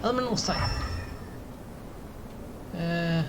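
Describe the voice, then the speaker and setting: A man's voice: on the high side, takes it slowly, heavy background noise. A man giving casual let's-play commentary, muttering to himself as he plays. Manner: muttering to self while playing. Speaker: gamer, let's-play host. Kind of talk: casual live gameplay commentary.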